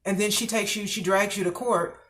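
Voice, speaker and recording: A woman's voice: mid-range voice, steady tone, a little fast, a bit bright, poor audio. A woman in her forties co-hosting a casual chat, frank and emphatic, often asking "you know what I mean?". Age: 40s. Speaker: podcast co-host.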